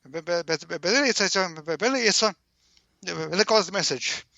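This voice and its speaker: A man explaining as an interview guest, halting and repeating words over and over as he searches for the next one. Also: sits high, expressive, gravelly, pushed and bright, no room sound. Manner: halting, heavily stammered repetitions of words. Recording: dull, lo-fi call-in audio.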